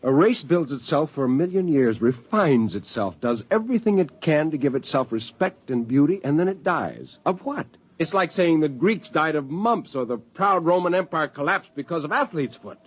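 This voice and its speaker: An actor playing an anguished, bitterly indignant idealist on a space expedition, intense and eloquent, in 1950s radio drama style. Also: male voice, fairly high pitch, big pitch swings, moderate pace, hardly any pauses, breathy edge. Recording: dull, lo-fi 1950s radio recording.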